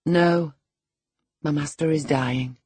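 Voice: medium pitch, fairly even intonation, slow, a little mellow, husky, dry recording. A narrator voicing a blunt, firm, disapproving female housekeeper in an audiobook of a classic novel. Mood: grave. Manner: frank, firm.

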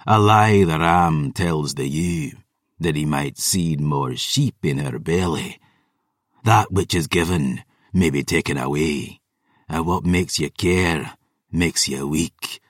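A man voicing an ancient cruel vampire lord who speaks slowly and low with archaic, sneering words, in an audiobook. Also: dry recording, clean studio sound, full character voice. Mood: scornful. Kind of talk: audiobook character voice.

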